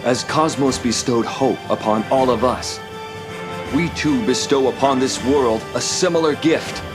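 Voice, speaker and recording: A noble, earnest warrior, acted by a man in a fantasy game. His mid-range voice is slow, formal and solemn, with music behind it. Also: mid-range voice, close to an everyday voice. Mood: solemn resolve.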